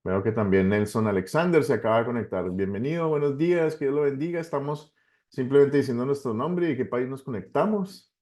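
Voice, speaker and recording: A man's voice: mid-range voice, lively intonation, fairly quick, a little mellow. A Colombian man, a pastor, casually hosting an online class in an encouraging way with short repeated acknowledgements. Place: online video call.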